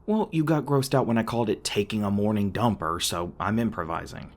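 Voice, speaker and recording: A man's voice: on the low side, brisk, natural voice. A man playing a teasing, crass but caring demon boyfriend in a conversational audio roleplay, talking casually with some hesitation. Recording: clearly noisy background with ambient sound effects.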